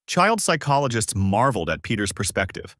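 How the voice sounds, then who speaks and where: A man narrates a dramatic story in a measured, polished way. His voice sits fairly low, and the sound is dry and close, with no room around it. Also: sing-song, a bit bright, dry recording. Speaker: narrator of an online story channel.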